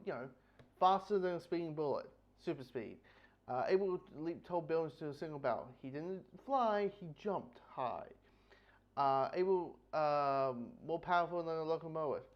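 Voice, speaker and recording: Rambling man, slightly high voice, muffled recording. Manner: rambling, halting, repeats phrases; blunt, casually sweary. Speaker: book reviewer. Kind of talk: casual unscripted review talk.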